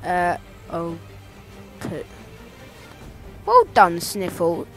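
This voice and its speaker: A man giving casual, rambling let's-play commentary, playful and easily excited. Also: high voice, very slow. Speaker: gameplay commentator. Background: game music.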